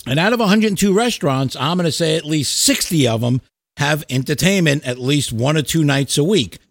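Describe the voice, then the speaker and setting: Man, slightly high voice, casual podcast chat, musician guest, animated storytelling, casual swearing, dry recording. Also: brisk, dry recording.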